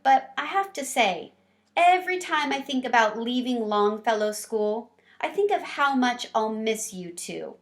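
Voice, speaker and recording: A woman voicing a warm, hardworking male night janitor in a storybook, sincere and exclamatory. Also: a little high, lively intonation, measured pace.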